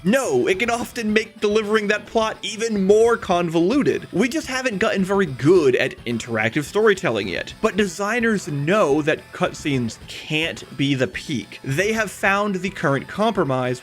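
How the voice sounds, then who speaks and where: A man's voice: high-pitched, sing-song, normal speed, few pauses. A man narrating a scripted video essay in an emphatic, conversational way, speaking straight to the viewer. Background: background music.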